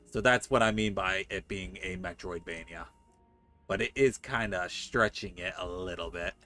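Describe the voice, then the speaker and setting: A man talks casually on a game livestream. His voice sits a bit low but has a bright, forward edge. Light music plays behind him. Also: moderate pace. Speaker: streamer playing a guessing game with chat. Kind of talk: casual game livestream chat, reading dialogue aloud.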